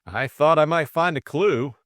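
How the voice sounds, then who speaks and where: A man voicing a flippant young gentleman sleuth in an audiobook, glib, drawling and teasing. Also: on the high side, measured pace, no room sound, clean studio sound, voice pushed up. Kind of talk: audiobook character acting.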